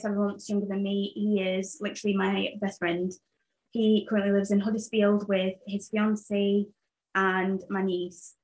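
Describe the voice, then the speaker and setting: Twenty-something woman rambling thru her podcast intro, lots of ums, pretty monotone. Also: medium pitch, low-quality recording. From Zambia, raised in England. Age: 20s. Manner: chatty, rambling, with fillers. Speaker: podcast host. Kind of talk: casual solo podcast chat.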